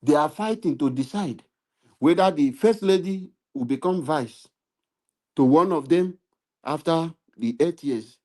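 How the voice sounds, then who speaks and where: Impassioned Nigerian man, mellow voice. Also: on the high side, animated, unhurried. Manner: preachy, rising to emphatic appeals and repetition. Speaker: political commentator. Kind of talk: impassioned political commentary. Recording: live stream.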